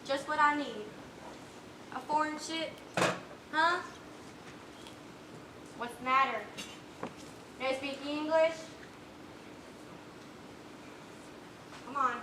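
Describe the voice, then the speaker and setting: A woman acting a gruff, hard-bitten farm wife on stage, blunt and rustic in her speech, projecting to the house. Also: high voice, slow pace, pauses often. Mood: gruff, sardonic. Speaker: student actor in a one-act play. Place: indoor stage, recorded live. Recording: roomy stage recording, faint background noise.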